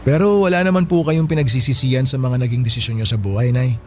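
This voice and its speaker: A man playing a polite, kindly Filipino working man in a radio drama, gentle and respectful as he speaks to an elder. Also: mid-range voice, fast, natural voice. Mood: respectful, attentive. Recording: music behind the voice.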